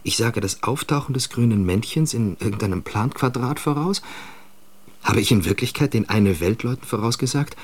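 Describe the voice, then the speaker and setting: Actor as a husky, troubled, weary man. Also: medium pitch, lively intonation, natural voice. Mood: puzzled. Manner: searching, halting, earnest. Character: weary, troubled man, former war forecaster, longing for peace. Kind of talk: German science-fiction radio drama acting.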